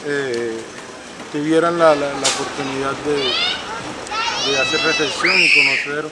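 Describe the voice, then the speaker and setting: A man's voice: a little high, fairly even intonation. A man from Palenque with a Colombian Caribbean accent, native Palenquero speaker, proudly explaining as a local guide. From San Basilio de Palenque, Colombia. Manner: earnest, proud, explains at length with pauses. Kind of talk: explanatory tour talk. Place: outdoors in a village. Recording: outdoor recording with loud street noise.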